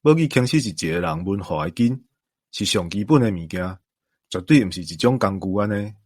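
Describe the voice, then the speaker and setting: A low-voiced man with a Taiwanese accent talks things over in an earnest, emphatic way. The recording is close and dry. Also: lively intonation, brisk, darkish tone, dry recording. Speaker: commentator. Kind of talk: conversational discussion.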